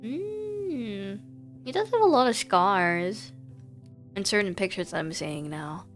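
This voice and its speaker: A woman's voice: medium pitch, lively intonation, takes it slowly. A woman livestreaming a game, switching between casual, playful chat and reading the game's text aloud. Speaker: streamer playing and reading aloud a horror game. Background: music.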